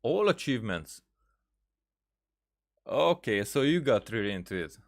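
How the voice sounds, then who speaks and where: A man giving casual game playthrough commentary as a streamer, thinking aloud haltingly and repeating words. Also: mid-range voice, very animated. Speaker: gaming streamer.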